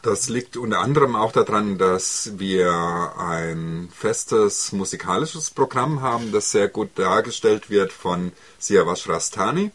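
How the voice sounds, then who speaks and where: A man's voice: lowish voice, measured pace, hardly any pauses, fairly bright tone, husky. A man who organises city tours, talking in an explanatory interview style.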